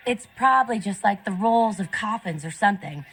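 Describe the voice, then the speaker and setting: A woman's voice: mid-range voice, expressive, fairly quick, a bit bright, husky. A woman playing a casual, grumbling vampire gossip in a video game, with music behind. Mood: dismissive.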